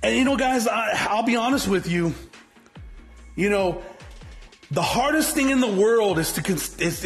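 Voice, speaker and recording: A man in his forties giving an unscripted motivational talk to his viewers. He talks in a blunt, fiery way, swears freely and often restarts his sentences. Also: sits high. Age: 40s. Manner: rambling, repetitive, frequent swearing and restarts. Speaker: motivational vlogger, business owner.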